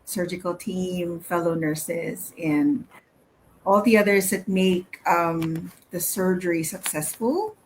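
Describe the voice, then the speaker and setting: A woman's voice: fairly low pitch, slow pace, slight background noise, poor audio. A woman in her thirties from the Philippines, answering interview questions in a friendly, casual way with many fillers. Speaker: guest.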